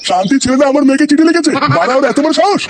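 A man's voice: very high voice, expressive, rapid-fire, slight background noise. A man voicing an angry, foul-mouthed, threatening village father in a comedy cartoon. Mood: furious, threatening. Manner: brash, threatening, confrontational. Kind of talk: Bengali comedy cartoon dubbing.

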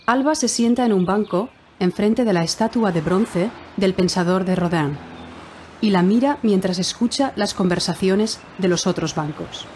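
A woman's voice: medium pitch, moderate pace, a little mellow, a little hiss or hum. Woman narrating a Spanish audiobook. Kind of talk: scripted narration for learners.

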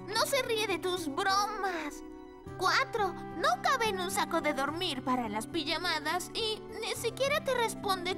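Very high, lively little cartoon girl. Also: full character voice. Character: sweet, earnest, supportive little girl cartoon heroine. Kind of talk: animated children's series dub. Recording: music bed behind the voice.

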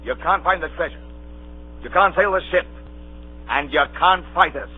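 An actor playing a stern, defiant ship's captain in an old radio drama, giving clipped, authoritative orders. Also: male voice, fairly high pitch, normal speed, natural voice. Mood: cold, defiant. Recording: tinny old radio recording with hum.

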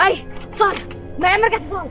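A woman's voice: extremely high pitch, fairly quick, loud music behind the voice. A woman voicing a hot-tempered, violent henchwoman in a cartoon drama. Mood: agitated, angry.

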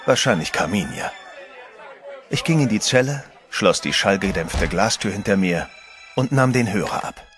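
A man's voice: lowish voice, lively intonation, normal speed, fairly bright tone, some chatter behind. An actor playing a composed adventurer who narrates his own story in a horror radio play. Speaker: first-person narrator. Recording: music bed under the voice.

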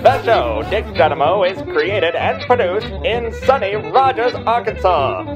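A man's voice: high voice, sing-song, measured pace. A man reading scripted show credits as an announcer. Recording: dull lo-fi with music behind. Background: music.

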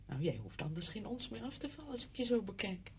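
A woman's voice: mid-range voice, sing-song, fast talker, slight background noise. An actress playing a chatty, apologetic married woman visiting a friend in a radio drama, effusive, rambling and flustered.

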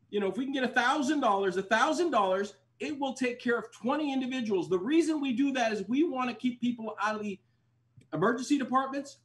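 An older man, a doctor whose first language is Spanish, making an urgent fundraising appeal over a video call and repeating his pleas. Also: very high-pitched. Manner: urgent, pleading, repeats phrases. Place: indoors, plain wall, home video call. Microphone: webcam on video call.

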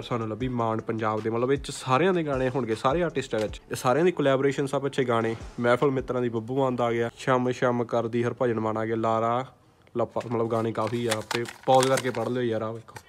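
A man's voice: medium pitch, steady tone, fast, slight background noise. A young man with a Punjabi accent chatting informally as he vlogs, mixing in English words. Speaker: vlogger showing a collection. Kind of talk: casual chat while showing items to camera. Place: small indoor room.

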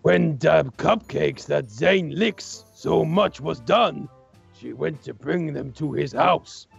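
Man playing a creaky, excitable young storyteller. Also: a little high, slow, soft background music, heavily acted. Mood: gleeful storytelling. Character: excitable young man gleefully reading silly fan fiction aloud. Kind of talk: hammy storytelling in Minecraft roleplay.

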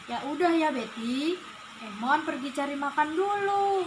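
A kind, helpful young monkey, voiced by a woman in a puppet tale. The voice is high and childlike with stretched endings and an unhurried pace, over clear noise. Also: animated. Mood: caring.

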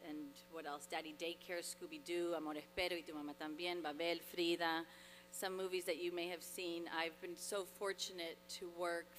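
Woman giving a bright speech in a hall. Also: lowish voice, little pitch movement, moderate pace. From Dominican Republic. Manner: earnest, grateful, with frequent fillers and restarts. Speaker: award honoree. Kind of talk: acceptance speech. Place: large hall, on stage at a podium. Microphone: podium microphone. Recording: long reverb in a large hall, faint hum.

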